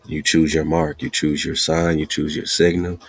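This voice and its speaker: Male host, very low and monotone, husky; emphatic sermon-like talk, close dry sound. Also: no room sound. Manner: slow, emphatic, repetitive, with laughs. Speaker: podcast host. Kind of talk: casual preaching-style monologue.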